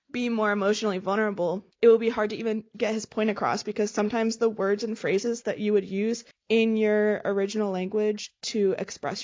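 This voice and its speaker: A woman shares her views on a podcast quickly and earnestly, in a mid-pitched voice. The recording sounds slightly dull and lo-fi. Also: warm tone. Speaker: podcast co-host. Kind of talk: casual podcast chat.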